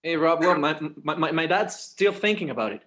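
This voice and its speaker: High-pitched, earnest, stammering son at the end of his patience, male actor, table read. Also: animated, a little fast, pushed and bright. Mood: nervous. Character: anxious, exasperated adult son and schoolteacher.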